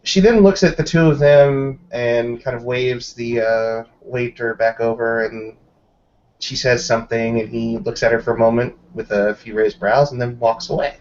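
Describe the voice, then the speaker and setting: A man's voice: medium pitch, steady tone, normal speed, a little mellow, dull audio. A man playing a tabletop roleplaying game, casually improvising narration of a character's actions.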